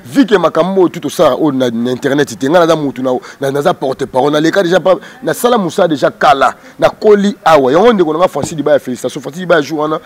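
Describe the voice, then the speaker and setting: A man's voice: a little high, quick pace, hardly any pauses. A man in his thirties with a Kinshasa Congolese accent, speaking combatively and emphatically in an interview, repeating words, into a handheld microphone outdoors. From Democratic Republic of the Congo. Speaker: interview guest, music group spokesman. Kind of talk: heated street interview in Lingala mixed with French. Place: outdoors on a porch.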